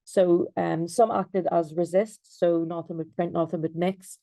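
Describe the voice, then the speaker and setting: Gravelly, flat-toned Irish woman presenting. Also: fairly low pitch, unhurried, no echo. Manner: hesitant, many fillers and restarts. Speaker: designer and researcher. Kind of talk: presentation over video call. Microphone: video call.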